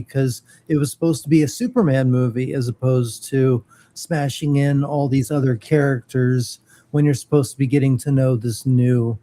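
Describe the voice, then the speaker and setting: A man chatting casually about geek topics as a co-host, hesitant and pausing in the middle of phrases. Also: medium pitch, mellow. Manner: hesitant, trailing, pauses mid-phrase. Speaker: podcast co-host.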